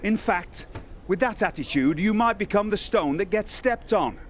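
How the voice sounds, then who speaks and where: Formal wrestling boss, fairly high-voiced. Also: male voice, animated, normal speed. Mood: cautioning. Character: stern, courteous male wrestling talent boss. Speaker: talent recruiter. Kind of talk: video game cutscene dialogue. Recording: faint background noise.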